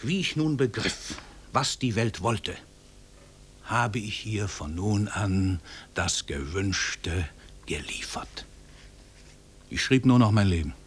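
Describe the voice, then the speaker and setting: An actor playing an arrogant, cold-blooded famous writer in a radio play, speaking in a pompous, menacing, grandiloquent way. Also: male voice, on the low side, lively intonation, slow, pauses often, a little hiss or hum. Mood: cold contempt. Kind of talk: 1950s German radio play acting.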